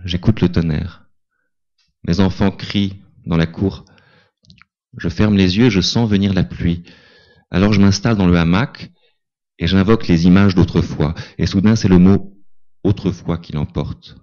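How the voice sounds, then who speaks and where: A man reading a first-person literary text aloud at a tribute event, calm and deliberate, in a dull lo-fi recording. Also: sits low. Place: small cinema salon.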